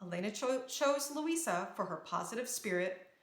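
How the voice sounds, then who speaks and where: A woman reading a children's storybook aloud in a dramatic, expressive storytelling manner. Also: medium pitch, normal speed. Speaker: storybook reader. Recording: roomy, echoing sound.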